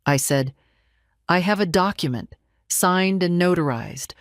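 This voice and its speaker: Female story narrator: deep register, composed, deliberate, very dry close sound. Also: lively intonation, dry recording. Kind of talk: scripted first-person dramatic narration.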